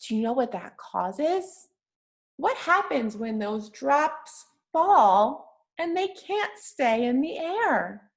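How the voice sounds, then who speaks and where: Slow-paced woman reading aloud to young children. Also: high voice, sing-song, vocal fry. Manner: simple, encouraging, patient teacher talk. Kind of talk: interactive children's read-aloud with questions and praise.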